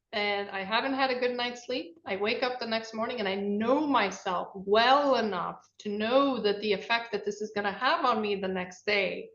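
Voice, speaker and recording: A woman co-hosting a casual podcast, chatty and animated, laughing easily. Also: a little high. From Brazil. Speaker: podcast co-host. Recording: roomy, dull lo-fi sound.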